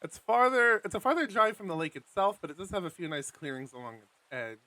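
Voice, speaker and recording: Bright-voiced man hosting a game stream. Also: sits high, big pitch swings, fast talker, no room sound. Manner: casual, self-deprecating, rambling asides. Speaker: streamer host. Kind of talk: live let's play, reading game text aloud.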